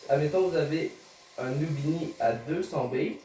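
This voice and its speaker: A man's voice: on the high side, normal speed, dark tone, a little hiss or hum. A Canadian man with a Québécois accent, commenting casually on a game he is playing. He talks hesitantly, stammering and using many fillers. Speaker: gaming YouTuber. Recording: roomy echo, distant mic, music behind.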